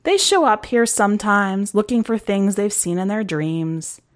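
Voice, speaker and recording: A woman's voice: medium pitch, lively intonation, unhurried, lightly acted. Woman reading as a blunt, streetwise young girl. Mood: dismissive. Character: streetwise young city girl, blunt, scornful, world-weary. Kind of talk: audiobook character dialogue.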